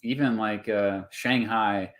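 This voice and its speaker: A man with an American accent hosting a casual, unscripted interview, rephrasing his thoughts mid-sentence in a halting way. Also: lowish voice, steady tone, fairly bright tone. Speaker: YouTube host in a two-way interview.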